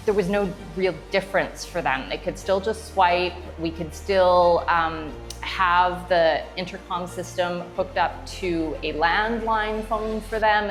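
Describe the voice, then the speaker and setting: Casting: woman, lower-pitched, conversational testimonial speaker, explanatory and emphatic, over background music. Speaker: customer giving a testimonial, strata council member. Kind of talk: casual interview testimonial.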